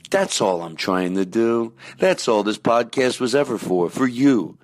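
Man, podcast host, playful and silly, casual chat, mid-pitched voice. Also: sing-song, a little slow. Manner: goofy, playful, earnest asides.

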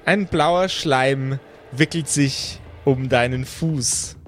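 A man's voice: medium pitch, drawn-out words, a little hiss or hum. A man working as game master, improvising narration and chatting casually with his player.

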